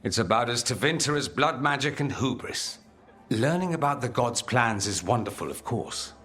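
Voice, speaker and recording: A man's voice: mid-range voice, expressive, normal speed, a bit bright, natural voice. An actor playing a suave, theatrical nobleman in a video game, a sardonic and articulate reformer with a flamboyant manner. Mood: wry, pleased. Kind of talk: video game dialogue acting.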